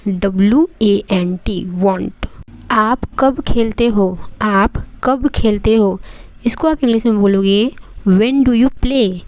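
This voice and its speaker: A woman from India with an Indian accent, teaching English phrases in a lesson and repeating each example slowly and deliberately. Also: medium pitch, a little mellow, no room sound. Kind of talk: Hindi-language English lesson with drilled phrases.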